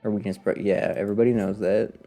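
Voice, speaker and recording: A man's voice: on the low side, flat delivery, fast talker, mellow. A man giving casual live commentary on a video game, talking to himself and his viewers in a wry, sarcastic way. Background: game music.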